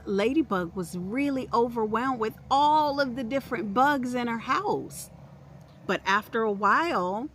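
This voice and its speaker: A woman hosting a children's storytime, speaking expressively and encouragingly to young listeners in a deliberate way. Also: medium pitch, a little hiss or hum. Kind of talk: expressive read-aloud for preschoolers.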